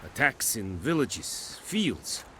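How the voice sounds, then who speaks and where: An actor playing a stern, pragmatic commander of an occupying army in a video game, formal and measured, speaking with a foreign accent. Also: male voice, mid-range voice, very animated, a bit bright, slight background noise. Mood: grave. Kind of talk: dramatic video game acting.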